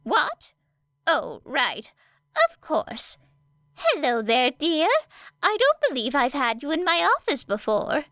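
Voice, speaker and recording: A woman voicing a fussy, scowling old lady nurse with a sharp, exasperated manner in an audiobook. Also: high voice, big pitch swings, slow pace, no room sound, studio quality, pitched above the performer's own voice, exaggerated voice. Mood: fussy concern. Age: older. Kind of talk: audiobook character acting.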